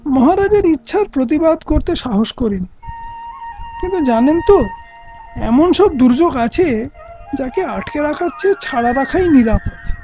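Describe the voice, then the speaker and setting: Man playing a cautious, mellow-toned royal minister. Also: very high-pitched, lively intonation, normal speed, pauses often. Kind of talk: Bengali radio play acting. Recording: dull lo-fi audio with music.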